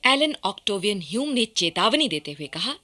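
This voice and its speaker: A woman's voice: medium pitch, sing-song, normal speed, sharp tone. A woman narrating a scripted historical radio drama.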